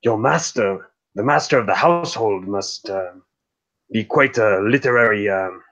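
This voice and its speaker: A man playing a polite, formal gentleman guest in a mystery role-playing game, halting and mannered. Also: lowish voice, dull audio. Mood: courteous, musing.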